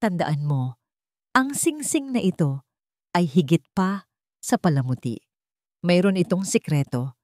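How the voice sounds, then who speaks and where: A woman voicing a gentle, affectionate father, an army general talking to his daughter in a dramatic story. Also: on the low side, sing-song, a little slow, long pauses, dry recording, studio quality. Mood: tender.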